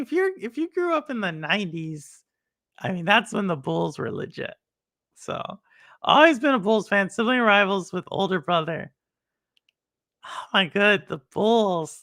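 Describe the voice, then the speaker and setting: A woman's voice: medium pitch, creaky, bright, forceful tone, no room sound, studio quality. A woman from Texas with a Texan accent, chatting casually on a live stream as host, reading chat and laughing.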